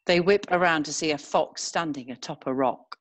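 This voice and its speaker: Woman w a low voice reading out script stage directions as narrator. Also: normal speed.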